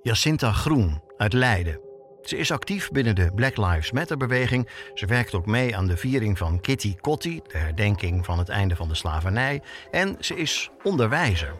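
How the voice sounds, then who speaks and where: A man's voice: fairly low pitch, very animated, moderate pace. A man with a Netherlands Dutch accent narrating a scripted podcast as its host, telling it personally and posing rhetorical questions.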